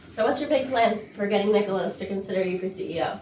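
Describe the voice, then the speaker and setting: A woman's voice: mid-range voice, fast talker, darkish tone, close to an everyday voice. A woman playing a patient, overlooked young intern in a staged comedy reading. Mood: patient, curious. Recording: echoey rehearsal room, far, faint noise.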